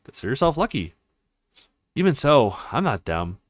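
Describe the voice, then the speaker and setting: A man's voice: mid-range voice, very animated, normal speed, dry recording. Man reading as a bitter, blunt young woman fighter. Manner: reproachful, blunt.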